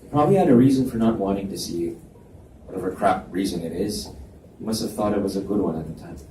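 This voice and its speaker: A man reading the part of a gentle young man who talks softly while opening up, recorded at a live reading in a library. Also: animated, quick pace, a little hiss or hum. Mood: reassuring. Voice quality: soft, low-key delivery. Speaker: reader voicing male lead. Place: library event room. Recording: echoey library room, mic at a distance.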